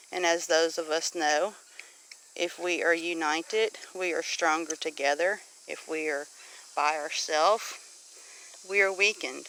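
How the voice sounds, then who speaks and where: A middle-aged woman with a Southern US accent and a bright, forceful voice. She speaks straight to her viewers in an informal, preachy way, urging them earnestly and repeating phrases to drive points home. Faint insect chirping and outdoor ambience sit behind her. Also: deep voice, slight background noise, rough recording. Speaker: homesteading vlogger. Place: outdoors on a homestead.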